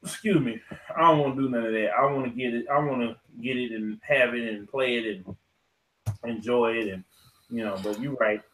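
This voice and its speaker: A man's voice: mid-range voice, normal speed, a bit bright, rough recording. A man hosting a podcast in an informal, slangy way, chatting casually and reading through lists. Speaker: podcast host.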